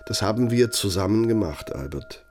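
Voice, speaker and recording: An actor in a radio drama playing an aging, bitter Nazi architect, speaking in a reproachful, deliberate, rhetorical manner. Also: male voice, fairly low pitch, animated, soft background music, close to an everyday voice. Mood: bitter, aggrieved. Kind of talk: German radio drama monologue.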